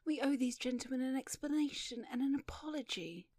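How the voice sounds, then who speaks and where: Woman as a shy, high-voiced, breathy young lady. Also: animated, a little fast, a little mellow, no echo. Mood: earnest, grave. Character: shy, earnest, polite young woman film-maker.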